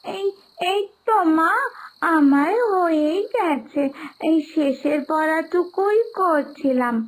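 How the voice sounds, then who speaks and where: A sweet, obedient little village girl in a cartoon moral tale. The voice is very high and unhurried, with eager childlike phrasing that halts and stretches. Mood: proud, earnest. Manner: childlike, halting, drawn-out phrasing. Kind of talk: dramatised cartoon moral story dialogue.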